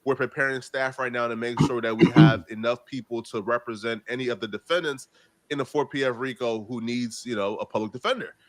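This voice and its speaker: A man's voice: mid-range voice, brisk. A man giving casual, opinionated news commentary in a slangy, conversational, incredulous manner.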